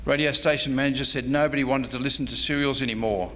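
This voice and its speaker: A man's voice: mid-range voice, fairly even intonation, fast, pushed and bright. A man giving an informal history lecture, reading from notes with casual asides. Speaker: presenter, radio historian. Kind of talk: slide show lecture. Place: convention presentation room. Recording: echoey hall, faint background noise.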